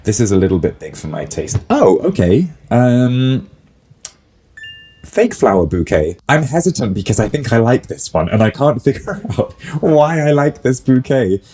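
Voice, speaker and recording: A man's voice: medium pitch, sing-song, normal speed, warm tone, slight background noise. A man, a florist, musing in a casual reaction video, a little snuffly with a cold and hedging his opinions. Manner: musing, hedging, lots of "like" and "I think".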